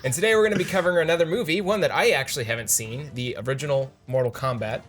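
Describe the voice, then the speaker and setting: A man's voice: a little high, racing, faint music behind. A man hosting a casual, joking movie discussion with friends, swearing freely. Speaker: show host.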